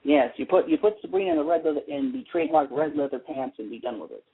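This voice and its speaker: A man chatting casually and wryly as an opinionated panelist on a fan talk show, with a husky voice. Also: on the high side, animated, fast talker. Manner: opinionated, wry. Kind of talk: casual soap opera fan chat. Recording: narrow tinny phone-line sound.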